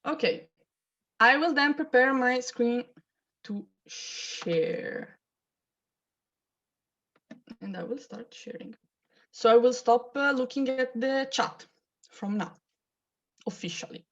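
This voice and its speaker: Woman, 20s, chatty, informal, laughs often, fairly high voice, video call, slightly muffled sound. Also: takes it slowly, pauses often, a bit bright. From Italy. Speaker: team leader hosting an onboarding call. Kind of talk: casual online presentation.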